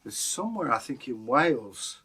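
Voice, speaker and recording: A man's voice: mid-range voice, big pitch swings, moderate pace, pushed and bright, slightly rough. A British man co-hosting as a storyteller, telling folktales and chatting in a casual, musing way. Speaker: co-host and storyteller.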